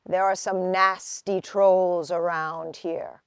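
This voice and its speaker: A woman voicing a busy, obliging male squirrel in a storybook, brisk and a bit bossy. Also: lowish voice, little pitch movement, a little hiss or hum, voice pushed down. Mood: brisk, warning.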